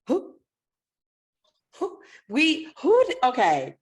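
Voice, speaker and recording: A woman hosting a podcast interview, animated, quick to agree and talking in bursts. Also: high voice, husky. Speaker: podcast host.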